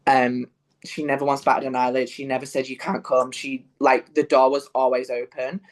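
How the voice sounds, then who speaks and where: A man's voice: medium pitch, level tone, moderate pace. A young man from Yorkshire with a Northern English accent, chatting as an interview guest, full of fillers and restarts. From Yorkshire, England. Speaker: guest, musical theatre performer. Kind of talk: casual interview chat.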